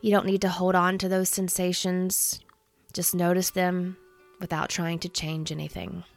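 A woman in her thirties leading a guided meditation in a soothing, gentle, unhurried manner. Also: on the low side, little pitch movement, creaky, faint music behind. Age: 30s.

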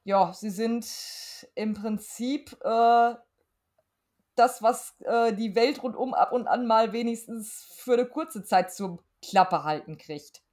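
A woman's voice: on the high side, slow pace, darkish tone. A middle-aged woman, chatting casually and frankly as a podcast guest, with emphatic wording. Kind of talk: casual chat.

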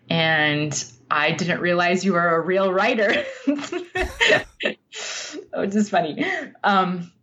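A woman being interviewed as a writer, speaking in a thoughtful, halting, reflective way. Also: fairly low pitch, a bit bright, dull audio.

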